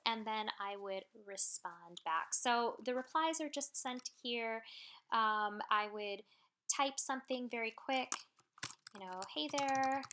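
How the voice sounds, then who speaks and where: A woman's voice: a little high, sharp tone. A woman walking new support staff through a messaging tool, talking casually with many ums and uhs as she explains each step. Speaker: customer support trainer. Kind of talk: screen-share training walkthrough.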